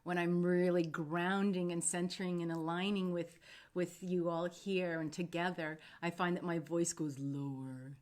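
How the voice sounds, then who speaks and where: Slow, low-voiced woman guiding meditation. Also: little pitch movement, darkish tone. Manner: soothing, unhurried, meandering with pauses. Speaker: host and meditation guide. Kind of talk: unscripted live talk and guided meditation.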